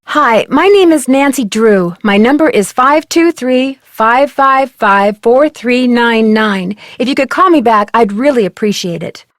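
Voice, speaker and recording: A woman playing a polite, earnest young amateur detective in a video game, speaking clearly and with determination. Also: a little high, animated, a little slow, few pauses, no echo. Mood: inquisitive.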